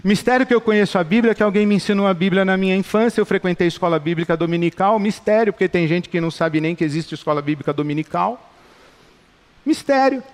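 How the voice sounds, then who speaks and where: Brazilian pastor, middle-aged, fervent and repetitive, high-pitched, reverberant hall. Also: male voice, fast. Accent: Brazilian Portuguese. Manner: passionate, rhetorical repetition. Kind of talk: sermon.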